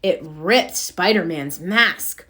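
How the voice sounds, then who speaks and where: Female narrator for early-reader storybooks: expressive, unhurried, clearly phrased, with lively intonation. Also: lowish voice. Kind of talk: children's read-aloud, scripted narration.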